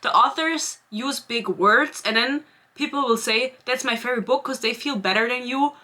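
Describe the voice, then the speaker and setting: A woman's voice: a little high, normal speed, sharp tone. A young woman in her twenties whose first language is German, chatting casually as a book vlogger, rambling and sarcastic, laughing often. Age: 20s. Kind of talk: casual chat.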